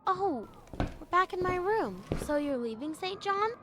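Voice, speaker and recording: A woman's voice: extremely high pitch, big pitch swings, unhurried, background noise, heavily acted. A woman playing a curious, easily amazed young girl in a children's audio drama, wide-eyed and colloquial.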